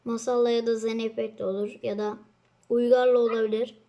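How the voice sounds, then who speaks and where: A young girl with a very high voice hosts a gaming session. She talks casually and excitably, commenting on the play as it goes. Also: brisk. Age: child. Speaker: host of a gaming channel.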